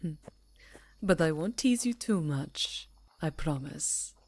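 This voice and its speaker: A woman's voice: low voice, animated, takes it slowly, darkish tone, no room sound, heavily acted. A woman playing a haughty, seductive vampire countess who speaks in a poised, teasing, condescending purr in an ASMR fantasy roleplay.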